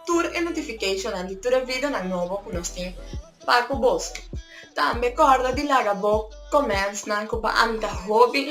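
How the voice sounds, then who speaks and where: A woman's voice: on the high side, a bit bright. A woman from Curaçao with a Papiamento accent, explaining ways to earn from home in a chatty, casual vlog that mixes languages. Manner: chatty, switching between languages. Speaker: YouTuber. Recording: echoey room with faint music.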